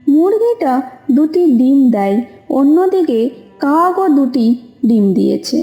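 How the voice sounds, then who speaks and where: Female storyteller for children's cartoon, high mellow voice, scripted delivery, roomy echo. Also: sing-song, a little slow, muffled recording. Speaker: narrator. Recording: roomy echo, faint music.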